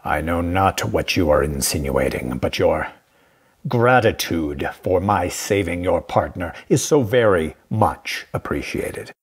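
A man plays a secretive, haughty guardian in an audio drama. The voice is deep and rough-edged, the delivery formal, dry and curt. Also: lively intonation, unhurried, full character voice. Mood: scornful.

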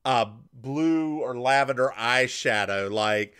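A man's voice: medium pitch, slow, clean tone. A man co-hosting a podcast, chatting casually as he recaps a TV episode and acts out the characters' lines. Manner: animated, puts on voices for characters. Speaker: podcast co-host.

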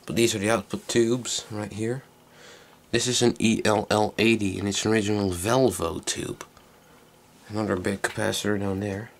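A man giving a casual, unscripted hands-on demonstration in a low, creaky voice, recorded on a handheld camera microphone. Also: flat delivery, normal speed. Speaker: hobbyist video maker. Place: small indoor workroom.

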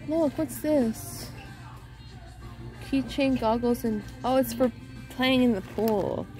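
A woman's voice: on the high side, creaky. A woman vlogging a casual shopping trip through a home goods store, pointing out items and reading prices aloud. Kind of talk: casual shop-with-me narration.

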